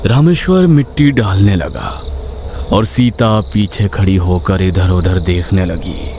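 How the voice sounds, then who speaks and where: A man's voice: low voice, takes it slowly, heavy background noise. A man reading a scripted horror story as a suspense narrator. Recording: loud night ambience with crickets.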